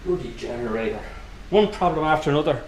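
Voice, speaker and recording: A man's voice: on the high side, animated, quick pace, poor audio. A man playing a weary, irritable middle-aged circus boss in a radio drama, speaking in a curt, exasperated way. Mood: weary, frustrated. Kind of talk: naturalistic radio drama acting.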